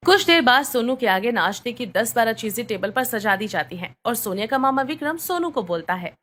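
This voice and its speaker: A woman's voice: a little high, lively intonation, fairly quick, a bit bright. A woman reading scripted bedtime story narration.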